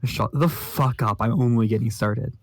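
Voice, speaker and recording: A man's voice: medium pitch, moderate pace, no room sound, studio quality, natural voice. A man voicing an abrasive, foul-mouthed young male troll who rants pompous insults, reading a comic aloud. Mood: scornful. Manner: insulting, ranting, pompous wordplay. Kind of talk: comic read-along voice acting.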